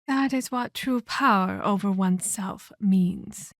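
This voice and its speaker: A woman performs a sinister female vampire captor in a dramatic ASMR roleplay. She speaks slowly and deliberately, with theatrical, condescending menace and taunting scorn. The sound is very dry, with no room around the voice. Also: medium pitch, no echo. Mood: intense, commanding.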